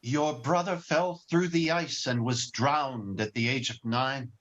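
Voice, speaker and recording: A man playing a gentle, earnest elderly guardian angel in an old-time radio drama, over a video call. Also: medium pitch, measured pace, natural voice. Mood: solemn. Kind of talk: 1940s radio drama acting. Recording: dull video-call audio.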